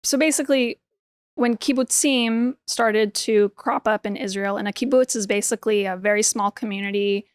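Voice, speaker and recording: A woman's voice: mid-range voice, steady tone, moderate pace, smooth, no room sound. A woman whose first language is Hebrew, chatting casually as a podcast guest, with plenty of "like" and "um" and easy laughter. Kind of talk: casual conversational interview.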